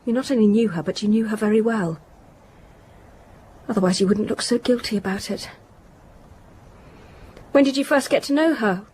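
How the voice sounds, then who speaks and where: An actress playing a proud, guilt-stricken young daughter of a well-to-do family in a radio drama, earnest and defensive. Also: female voice, mid-range voice, moderate pace, long pauses, a little mellow, a little hiss or hum, lightly acted. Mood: accusing. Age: young adult. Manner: earnest, defensive, faltering under questioning.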